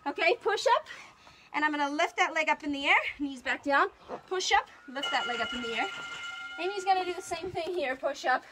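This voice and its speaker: A woman fitness trainer leading a live outdoor workout, loud and upbeat as she counts down and cheers people on. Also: sits high, expressive, a little fast, music in the background. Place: outdoors in the sun. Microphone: phone.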